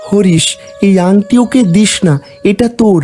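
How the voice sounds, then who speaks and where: A man's voice: high-pitched, a little slow, dark tone, soft background music, pitched above the performer's own voice. A man voicing a firm, protective magical talking myna bird in a fairy-tale cartoon. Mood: firm warning.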